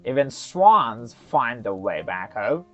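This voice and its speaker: A storyteller narrating a folktale in an expressive, dramatic way, with deliberate pauses. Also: mid-range voice, no echo. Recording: slightly muffled, soft music underneath.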